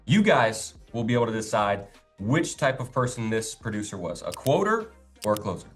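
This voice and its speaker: A man who works as a sales trainer, speaking in an instructional, sales-pitch style. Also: sing-song, normal speed, soft background music. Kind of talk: instructional talk and sales role-play.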